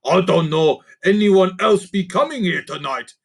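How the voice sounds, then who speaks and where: A man doing a gravelly sly creaker creature. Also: high voice, animated, measured pace, pitched above the performer's own voice, exaggerated voice. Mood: mischievous. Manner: growly creature voice with odd grammar. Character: sly male creaker creature, gruff and cheeky.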